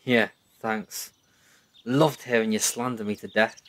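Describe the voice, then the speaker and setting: A man's voice: lowish voice, fairly even intonation, measured pace, fairly bright tone. A man playing a sarcastic but loyal young companion in an audio drama, with a casual manner. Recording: clear background noise.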